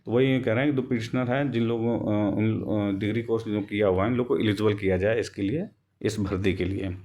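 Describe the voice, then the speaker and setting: A man with a fairly low, very mellow and relaxed voice. He explains like an online host walking through a document, informal and halting, often circling back to rephrase his points. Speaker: YouTube host explaining a court case update.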